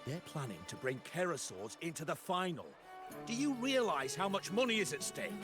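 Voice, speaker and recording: A scornful, money-minded schemer in a video game, with a high voice for a man. He sneers and protests theatrically, his pitch leaping about, over clear background music. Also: sing-song, moderate pace. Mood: indignant, pressing.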